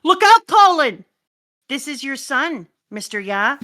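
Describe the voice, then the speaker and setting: Woman reading a bright-voiced doting parent. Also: sits high, big pitch swings, a little slow, voice pushed up, heavily acted. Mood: urgent, tender. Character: devoted, doting parent of a young son.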